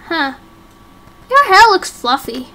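Woman voicing an eager, high-pitched little kid. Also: big pitch swings, takes it slowly, background noise, exaggerated voice. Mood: curious. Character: curious, excitable young child fond of pets.

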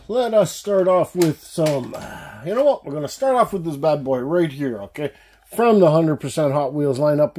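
A man with a mellow, relaxed voice chats enthusiastically to his viewers in a casual unboxing vlog. He has a slight cough that breaks in now and then. He sounds like he is talking at a table in a room full of shelves. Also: a little high, slight background noise. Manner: enthusiastic, chatty, addresses viewers as guys. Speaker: diecast collector channel host. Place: indoor room with shelves of toy cars.

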